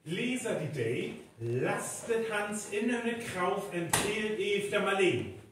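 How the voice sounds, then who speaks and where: A man's voice: high voice, animated, slow pace. A man performing in a live North Frisian stage radio play. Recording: very echoey hall, distant, clearly noisy.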